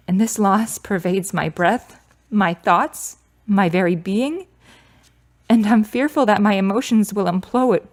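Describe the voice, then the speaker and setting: A woman playing a grieving, frank young woman in a radio drama, with dramatic, earnest phrasing. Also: medium pitch.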